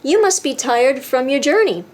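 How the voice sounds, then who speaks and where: Woman as a gentle storybook bear, high-voiced. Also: normal speed. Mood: friendly. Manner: expressive, friendly, simple.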